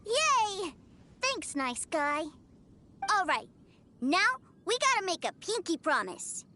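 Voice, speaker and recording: A woman voicing a small, excitable and stubborn boy in a video game, speaking in a bouncy, emphatic, childlike way. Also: extremely high pitch, takes it slowly, slight background noise, exaggerated voice. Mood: eager. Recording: game dialogue over loud music.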